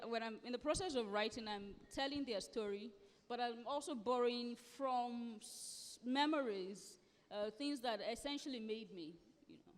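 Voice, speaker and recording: A woman with a Nigerian accent, around forty, speaking emphatically as a guest author in a live conversational interview, often repeating phrases for stress. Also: a little high. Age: late thirties to forties. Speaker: guest author at a book festival. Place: live festival event hall. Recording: echoey hall with long reverb.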